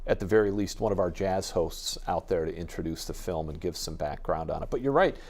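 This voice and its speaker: Male expert guest, somewhat deep voice, informative and enthusiastic, rambling explanatory interview delivery. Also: brisk, a little mellow. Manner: discursive, explanatory. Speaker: radio jazz expert guest.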